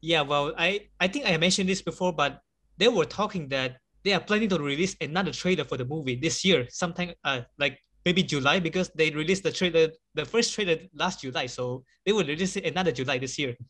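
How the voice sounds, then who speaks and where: A man whose first language is Chinese, chatting casually as an interview guest, laughing often and filling pauses with "like" and "um.". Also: a little high, fairly bright tone.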